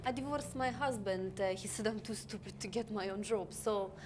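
A woman's voice: mid-range voice, noisy background, close to an everyday voice. A woman playing an earnest job-seeker. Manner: broken English, halting, earnest. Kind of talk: comedy sketch acting.

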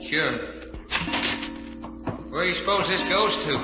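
An actor playing a folksy, wisecracking, reluctant adventurer sidekick in an old-time radio thriller. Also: male voice, on the high side, slow pace, lo-fi sound. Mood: mock reluctance. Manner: folksy, drawling slang. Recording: echoey old radio transcription with music.

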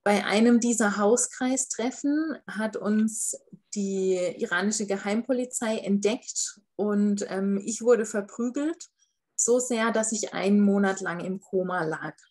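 A woman's voice: mid-range voice, a little slow, darkish tone. A young woman on a video call from a living room, carefully reading a refugee's first-person statement with frequent hesitations. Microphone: laptop webcam on a video call.

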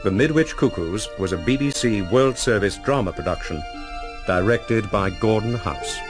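Radio announcer: man, fairly low, formal measured read over clear music. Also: animated. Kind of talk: scripted broadcast announcement.

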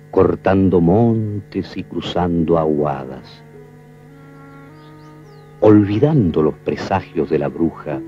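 Very deep, dark-voiced Argentine storyteller. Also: male voice, exaggerated voice. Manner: solemn, poetic, measured. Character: solemn male storyteller of a folk legend. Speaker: narrator. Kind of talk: scripted film narration. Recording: muffled 1970s film soundtrack, faint music.

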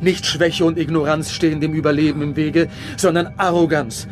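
An agitated scientist played by a man pleads in a radio play. His fairly high voice is halting and full of foreboding. Music plays clearly behind him. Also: little pitch movement, close to an everyday voice. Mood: uneasy, insistent. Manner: halting, urgent, repeats himself. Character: agitated, anxious male scientist, foreboding and insistent. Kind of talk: radio drama acting.

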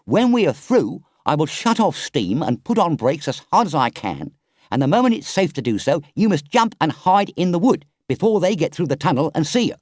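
Man doing a firm, soft-hearted storybook train driver; higher than his own voice, lively, dry sound. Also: normal speed, no room sound. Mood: urgent. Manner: earnest, urgent. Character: gruff but kind-hearted male engine driver.

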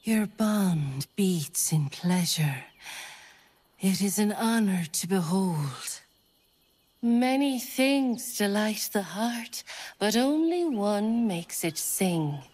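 A woman voicing a solemn, tender, mystical nature priestess in a video game, speaking in a ceremonial, poetic, often breathy manner. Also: medium pitch, lively intonation, slow pace, darkish tone. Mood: reverent. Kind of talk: video game character acting.

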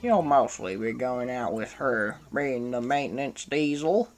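A man voicing the calm, welcoming oldest engine of a railway in a children's story, measured and helpful. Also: medium pitch, soft background music. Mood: explanatory.

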